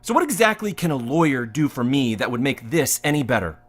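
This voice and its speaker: A man's voice: medium pitch, very animated, fairly quick. A man playing a bitter, defiant security guard facing punishment in a naturalistic audio drama, clipped and sarcastic. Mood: cold, bitter. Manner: clipped and sarcastic, rising to indignation.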